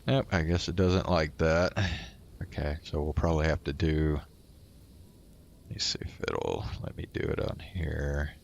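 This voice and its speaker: A man's voice: a bass voice, expressive, a little slow, creaky, lo-fi sound, a little hiss or hum. A man hosting a livestream, chatting casually and muttering to himself with some swearing. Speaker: livestream host.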